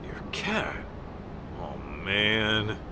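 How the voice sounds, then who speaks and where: A man playing an easygoing, gruff middle-aged private detective in a video game, talking in a casual way. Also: lowish voice, wildly swooping pitch, extremely slow, noisy background, exaggerated voice. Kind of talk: video game cutscene acting.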